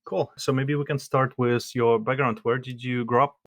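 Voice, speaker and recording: A man's voice: mid-range voice, little pitch movement, normal speed, no room sound. A man hosting a tech podcast who asks brief, casual questions. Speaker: podcast host. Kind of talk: podcast interview.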